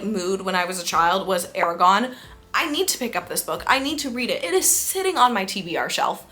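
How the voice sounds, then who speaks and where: A young woman with a bright, forward voice at a medium pitch, talking as a book vlogger. She chats casually and effusively, often repeating phrases for emphasis. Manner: gushing, effusive, emphatic repetition. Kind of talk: casual enthusiastic chat to camera.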